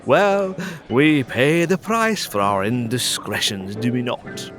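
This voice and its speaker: Frail fussy suitor, wildly swooping voice. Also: male voice, mid-range voice, sing-song, slow pace, over music. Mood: fussy self-pity. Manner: fussy, genteel, self-pitying. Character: frail, fussy, stooped thin hypochondriac suitor, Sir Charles. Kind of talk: farcical audio drama acting.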